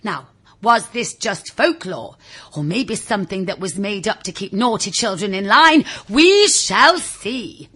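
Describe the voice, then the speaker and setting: Woman reading a kids story, really swoopy and dramatic, husky voice, talks right to the listener. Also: mid-range voice, expressive, unhurried, fairly bright tone. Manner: theatrical, confiding, addresses the listener directly. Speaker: audiobook reader. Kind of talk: animated scripted children's story narration.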